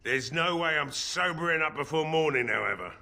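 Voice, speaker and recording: An actor playing a gruff, world-weary, hard-drinking blacksmith in a fantasy video game, grudging and plain-spoken. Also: male voice, a little high, animated, a little fast, sharp tone, heavily acted. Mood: weary, obliging.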